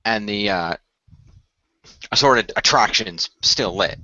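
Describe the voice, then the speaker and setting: Male narrator, improvised radio play, halting delivery, bright forceful tone, slightly muffled dry recording. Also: lowish voice, a little hiss or hum. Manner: halting, restarts phrases.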